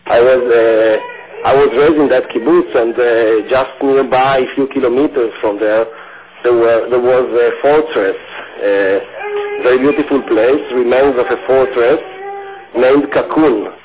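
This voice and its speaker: A man, answering radio interview questions in a thoughtful, halting way. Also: mid-range voice, a little mellow. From Israel. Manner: thoughtful, halting, searching for words. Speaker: guest. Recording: dull lo-fi radio sound.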